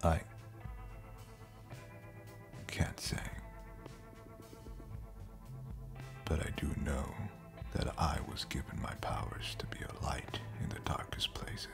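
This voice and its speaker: An actor playing an ancient, brooding, homesick spacefaring captain in an audio drama, speaking solemnly and deliberately in a gravelly, breathy near-whisper. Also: male voice, very deep voice, little pitch movement, over music. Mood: somber. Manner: slow, solemn, deliberate storytelling. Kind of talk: scripted audio roleplay drama.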